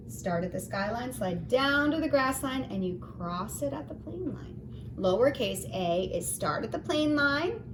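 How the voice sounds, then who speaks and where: Brief: encouraging woman teacher, a bit high, leading a kids' lesson; distant mic in an echoey room with background noise. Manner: gentle, encouraging, singsong. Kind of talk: children's phonics lesson. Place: home. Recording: roomy, distant, noisy home recording.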